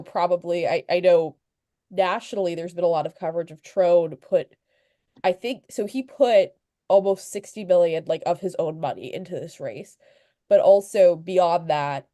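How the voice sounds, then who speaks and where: A woman's voice: lowish voice, mellow, no echo, studio quality. A young woman from Virginia, a local news reporter, talking casually over a video call while sniffly with a cold, with lots of fillers and small laughs. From Virginia, USA. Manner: chatty, fillers and restarts, light laughs. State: sniffly, under the weather. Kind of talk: informal talk at a club meeting.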